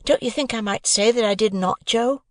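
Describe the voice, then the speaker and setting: A man's voice: high voice, normal speed, dry recording, clean studio sound, voice pushed up, close to an everyday voice. A man voicing an earnest young male apprentice with a pleading manner.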